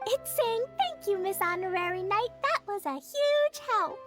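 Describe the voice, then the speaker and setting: Very high-pitched innocent little girl. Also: animated, measured pace, over music. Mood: eager. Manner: childlike, earnest. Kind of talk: video game voice acting.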